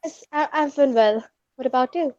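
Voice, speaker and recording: A high-voiced Indian woman talks in a halting, polite way, often restarting as she looks for the right word. The audio is dull and lo-fi with no room sound at all, like a laptop video call. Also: darkish tone, no room sound. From India, West Bengal. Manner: halting, searching for words, polite. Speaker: language student. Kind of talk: casual video-call chat.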